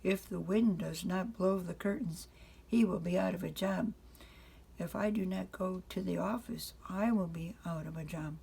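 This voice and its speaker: A woman voicing a patient but firm father who explains things in a deliberate, matter-of-fact way in a bedtime storybook. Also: fairly low pitch, creaky, dark tone, slightly rough, full character voice.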